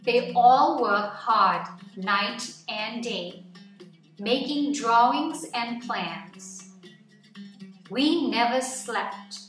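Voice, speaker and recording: A woman reading a nonfiction picture book aloud to children in an expressive, deliberate storytelling way, phrase by phrase. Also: on the high side. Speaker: children's story-time reader. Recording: echoey room, distant mic, music underneath.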